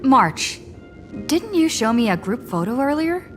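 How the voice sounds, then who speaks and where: A woman's voice: high-pitched, sing-song, unhurried, over music. A woman playing a curious, casual young female companion in a video game. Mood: questioning. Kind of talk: game voice acting.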